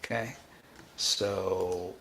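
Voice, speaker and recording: A man hosting a live coding tutorial, thinking aloud in a halting, informal way and often restarting his sentences. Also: sits low, fairly even intonation, creaky, fairly bright tone, a little hiss or hum. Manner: halting, self-interrupting, informal. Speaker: livestream host, programming instructor.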